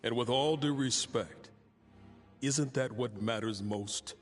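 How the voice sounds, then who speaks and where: A man playing a steady, resolute warrior, measured and direct, in a video game cutscene. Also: medium pitch, faint music behind, close to an everyday voice. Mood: earnest.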